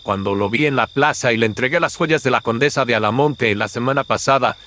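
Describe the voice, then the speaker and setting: A man's voice: medium pitch, a little fast, fairly bright tone, slight background noise, pitched above the performer's own voice, lightly acted. A man playing a servile, grumbling petty thief in a melodramatic horror radio drama, with a whining, deferential manner. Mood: pleading.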